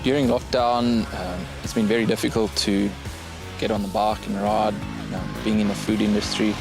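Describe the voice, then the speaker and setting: Male racer, 20s, South African accent, lowish even voice, clear music bed. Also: moderate pace. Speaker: motorcycle racer. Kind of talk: casual interview.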